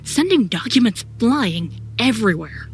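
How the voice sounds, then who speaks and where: A woman's voice: a little high, very animated, measured pace, faint music behind. A woman reading scripted first-person narration for an audio drama.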